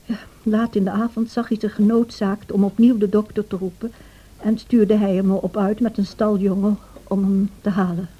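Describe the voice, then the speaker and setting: An actress playing a devoted, troubled housekeeper who tells a tragic story in a radio drama, in a measured, confiding way. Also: female voice, mid-range voice, little pitch movement, husky, close to an everyday voice. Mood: sombre storytelling. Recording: dull old radio play recording.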